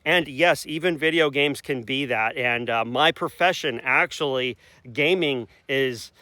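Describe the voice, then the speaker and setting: A man's voice: medium pitch, a little slow, bright, forceful tone, no echo. A man, hosting a casual rural vlog and rambling in a folksy way with self-corrections. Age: 40s. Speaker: channel host. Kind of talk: casual outdoor vlog chat.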